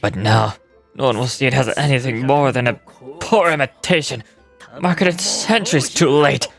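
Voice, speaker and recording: A man plays a pompous game villain, a scheming merchant with a theatrical, persuasive delivery. His voice sits at an ordinary male pitch, with music clearly playing behind it. He sounds self-serving and easily affronted. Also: moderate pace, lightly acted. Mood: bitter. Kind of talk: video game voice acting.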